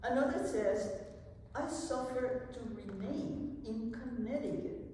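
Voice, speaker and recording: A woman recites as a young enslaved woman, anxious and hopeful for freedom, in a dramatic poetry reading. Her voice is soft-toned and very unhurried, carried through long hall reverb. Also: on the high side, a little hiss or hum. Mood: anxious, pondering. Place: large indoor hall at a live event. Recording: very echoey hall from far off.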